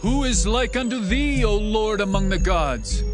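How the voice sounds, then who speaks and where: Exultant biblical leader, high male voice, very slow, declamatory, reverent, music behind. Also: animated. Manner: declamatory, reverent, drawn-out phrasing. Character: Moses, exultant reverent leader singing God's praise. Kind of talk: dramatized scripture recitation. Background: prominent music.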